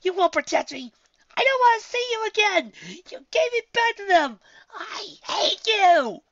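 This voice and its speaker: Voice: very high voice, sing-song, measured pace, a bit bright, no echo, muffled recording, voice pushed up, exaggerated voice. A narrator voicing a hurt, tearful young female pony filly in an emotional voice. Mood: anguished, accusing. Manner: emotional, stammering when upset.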